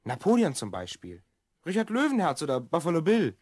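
Bossy young boy from the future, swoopy pitch, dry sound, kids audio drama. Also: huge pitch swings, brisk, a little mellow, husky, no echo. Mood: earnest. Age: child figure, twelve. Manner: earnest, explaining, bossy. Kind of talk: German children's audio drama acting.

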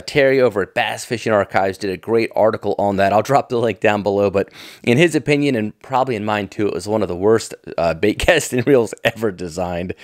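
A man's voice: on the low side, dry recording, studio quality. A middle-aged American man giving casual, off-the-cuff commentary in a folksy, enthusiastic, nostalgic manner. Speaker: fishing tackle vlogger.

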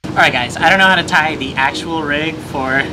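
A man's voice: on the high side, normal speed, noisy background. A man in his thirties, a fishing vlogger, explaining to camera outdoors on an ocean pier. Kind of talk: casual vlog narration. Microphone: action camera at arm's length. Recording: music under the voice.

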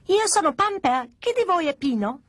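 A woman's voice: extremely high pitch, sing-song, normal speed, a bit bright, no room sound, voice pushed up, heavily acted. A woman voicing a spiteful baby doll toy in a storybook, with a strident baby voice and a bratty, taunting manner. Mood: shrill, bratty.

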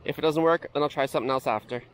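A man with a Canadian accent narrating a casual fishing vlog while wading in a river, in a relaxed, easygoing way. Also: on the high side, clean tone. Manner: relaxed, easygoing commentary with quiet reactions. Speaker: fishing vlogger. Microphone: head-mounted action camera. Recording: faint outdoor water noise. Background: rushing river water.

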